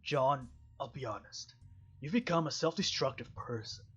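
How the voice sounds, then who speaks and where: A man voicing a stern, weary police chief in a throaty, strained voice, measured and formal, reading visual novel dialogue. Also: medium pitch, a bit bright, husky, lo-fi sound. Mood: grave, firm.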